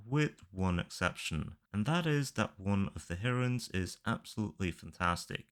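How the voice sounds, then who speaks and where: A man narrating opinionated reviews in a matter-of-fact, measured way, as a video creator. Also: lowish voice. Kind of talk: opinionated review commentary, semi-scripted narration.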